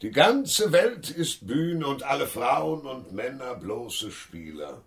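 An actor playing a deranged, vain theatre director and hypnotist in a radio crime drama, with a commanding, theatrical delivery. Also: male voice, mid-range voice, very animated, slow, slightly rough, heavily acted. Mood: declaiming Shakespeare.

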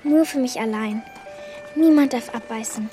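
A woman's voice: a little high, moderate pace, dark tone, soft background music, natural voice. A woman playing a spirited, determined little orphan girl in a children's radio play.

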